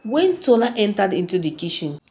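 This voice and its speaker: A woman narrating a scripted folktale in a deliberate, dramatic storytelling manner. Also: mid-range voice, big pitch swings, darkish tone.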